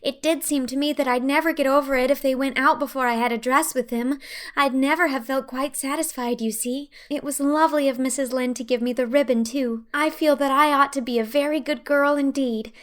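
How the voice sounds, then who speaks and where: A woman's voice: high voice, fairly even intonation, a little fast, voice pushed up, lightly acted. Woman doing a gushing, dreamy young girl. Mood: rapturous. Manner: gushing, effusive. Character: rapturous, chatty, dreamy orphan girl.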